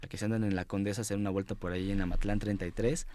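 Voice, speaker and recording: A man's voice: lowish voice, level tone, racing, warm tone, a little hiss or hum. A man with a Mexican accent chatting informally as an interview guest, explaining things in a hesitant way. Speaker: studio guest, guide editor. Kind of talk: casual interview chat.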